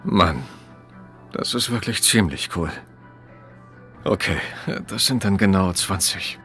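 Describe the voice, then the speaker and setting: Low, unhurried, kind young man. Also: a bit bright, faint music behind. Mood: kind, calm. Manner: easygoing, understated. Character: laid-back, kind, slightly shy young man. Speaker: video game character. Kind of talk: video game dialogue.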